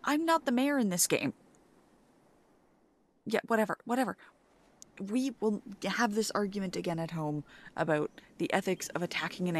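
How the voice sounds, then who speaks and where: A young woman doing a soft, playful, teasing ASMR girlfriend roleplay. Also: lowish voice.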